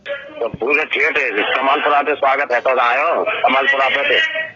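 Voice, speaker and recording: A man's voice: on the high side, very animated, measured pace, vocal fry, noisy background. A man with an eastern Rajasthan Bharatpur accent chatting casually and boastfully, rambling and repeating himself on a recorded phone call. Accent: eastern Rajasthan (Bharatpur) regional Hindi.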